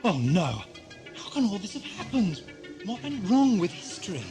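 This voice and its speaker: A man's voice: sits high, very animated, moderate pace, over music. A man playing an eccentric, troubled time-travelling adventurer in a science fiction audio drama, theatrical and exclamatory. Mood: dismayed.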